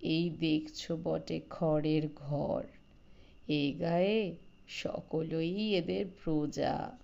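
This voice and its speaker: A woman's voice: deep voice, steady tone, extremely slow, creaky, mellow, muffled recording, exaggerated voice. A woman voicing a talkative old village man, folksy, coaxing and boastful, in an audio story.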